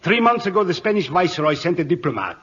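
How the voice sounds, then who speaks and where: A self-deified Latin American despot holds forth in an old radio drama. His voice is raised in pitch and husky, with a haughty, threatening delivery. The broadcast sounds dull and aged. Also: very animated, quick pace, fairly bright tone, full character voice. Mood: smug menace. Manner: imperious, haughty, menacing. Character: grandiose, tyrannical Latin American warlord who claims godhood.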